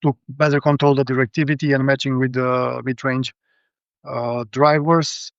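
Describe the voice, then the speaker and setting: A man's voice: medium pitch, flat delivery, normal speed, creaky, no echo. A man with a Romanian accent giving a casual technical rundown as a guest, speaking hesitantly, over dull lo-fi audio. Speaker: guest, hi-fi show visitor.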